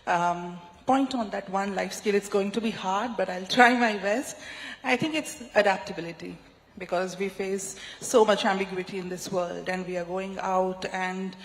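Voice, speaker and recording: A woman answering a panel question thoughtfully, pausing with fillers. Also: medium pitch. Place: large conference hall. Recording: reverberant hall.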